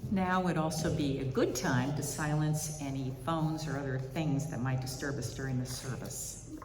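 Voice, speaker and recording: A service leader speaking to a congregation in a measured, deliberate way, giving announcements and readings. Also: medium pitch, fairly even intonation. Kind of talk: church announcements and solemn poetry reading. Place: church sanctuary during a service. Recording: echoey hall, distant mic, faint noise.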